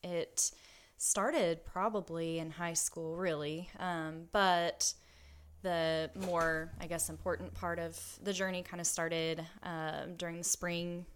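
A woman co-hosting a casual podcast, speaking quietly and with hesitation, pausing often to measure her words. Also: lowish voice, little pitch movement, slight background noise. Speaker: podcast co-host. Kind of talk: casual chat.

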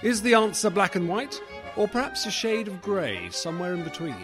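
Theatrical, high-pitched male announcer over music. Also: expressive, moderate pace. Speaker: radio drama announcer. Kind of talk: scripted dramatic introduction. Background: violin music.

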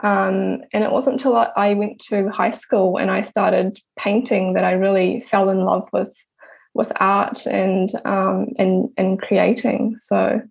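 A young woman in her twenties with a New Zealand accent, an artist answering interview questions in a relaxed, hesitant way with many pauses and restarts. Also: medium pitch, vocal fry, breathy edge. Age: 20s. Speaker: guest, artist.

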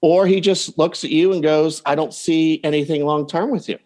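A man's voice: a little high, steady tone, normal speed, darkish tone. A man speaking as a coach and podcast guest, giving advice in a casual, anecdotal way. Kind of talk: casual advice chat, telling client anecdotes.

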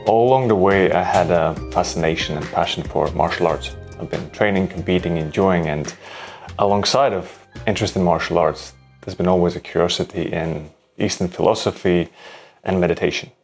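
Deep-voiced calm man from Latvia. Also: creaky, faint music behind. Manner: calm, reflective, slightly halting non-native phrasing. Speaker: breathwork teacher, guest. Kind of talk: interview answers.

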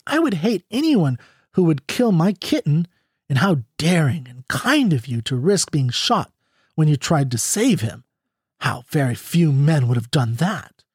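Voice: medium pitch, big pitch swings, a little slow, a little mellow, slightly rough, no echo, clean studio sound. A reader voicing a young ranch woman who sounds sweet, pleading and girlish.

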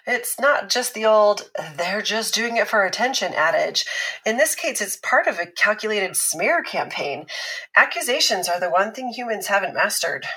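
Woman acting a calm expert, bright tone, explanatory, dry humour, middle pitch. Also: poor audio. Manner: measured, explanatory, lightly teasing. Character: calm, analytical woman expert, wry teaser. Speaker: expert on abuse recovery. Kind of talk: scripted podcast drama dialogue.

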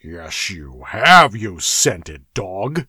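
A fierce male barbarian in a storybook reading, snarling insults with open contempt, as a man reads him. The voice is forceful and loud, close and dry. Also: mid-range voice, lively intonation, unhurried, dry recording, voice pushed up. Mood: scornful. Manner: snarling and scornful.

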